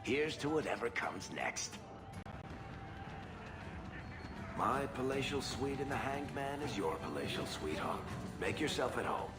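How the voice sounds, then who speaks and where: A man's voice: medium pitch, lively intonation, moderate pace, music in the background, lightly acted. A man playing a wry, roguish, loyal storyteller friend in a video game, talking in a conversational way. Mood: fond, relaxed.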